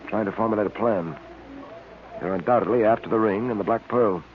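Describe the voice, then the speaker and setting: A man's voice: fairly low pitch, a little fast, natural voice. An American actor playing a composed, confident male police detective in an old radio serial. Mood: wary, grim. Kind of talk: 1930s-40s radio serial acting. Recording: tinny, noisy old radio recording.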